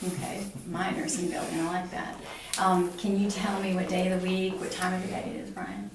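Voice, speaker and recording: A woman's voice: fairly low pitch, little pitch movement, a little fast. A middle-aged woman giving a clinical exam demonstration as a nursing instructor, explaining each step aloud to the patient. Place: small block-walled exam room. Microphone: camera a few feet away. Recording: roomy, distant camera mic, faint noise.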